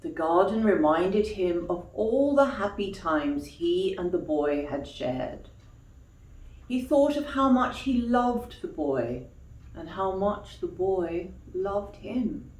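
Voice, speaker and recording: Animated woman storyteller in an echoey room. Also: fairly low pitch, slow. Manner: explains words to young listeners in asides. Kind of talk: expressive children's story reading. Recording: echoey room, distant mic.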